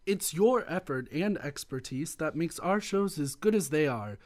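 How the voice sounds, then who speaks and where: A man's voice: a little high, lively intonation, moderate pace, darkish tone. A man reading a scripted promotional announcement as a spokesman for a community theater.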